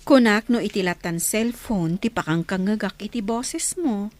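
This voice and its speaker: A woman playing a soft-hearted, respectful younger woman in an Ilocano audio drama, with an Ilocano accent. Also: medium pitch, normal speed, lightly acted. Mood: shy, friendly. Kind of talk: radio drama acting.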